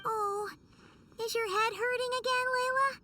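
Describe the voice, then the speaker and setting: A woman voicing a tiny, excitable fairy companion in a video game, childlike, exclaiming and easily indignant. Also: extremely high pitch, unhurried, recorded from a distance, background noise. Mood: concerned.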